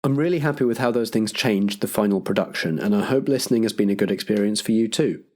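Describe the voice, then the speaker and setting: A man's voice: on the low side, fast talker, a little mellow. Man hosting with scripted announcements. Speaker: podcast host and compere.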